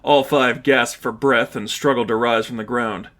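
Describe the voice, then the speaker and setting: A man's voice: medium pitch, steady tone, normal speed, a bit bright. Man narrating a fan fiction audiobook.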